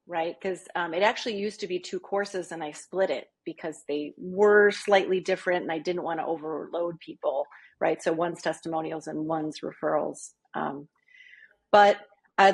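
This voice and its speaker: A husky, slightly low-pitched woman talks through her advice as a podcast guest. She is chatty and conversational and keeps checking in with "right?". She comes across as a small business coach sharing tips. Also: poor audio. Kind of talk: podcast interview.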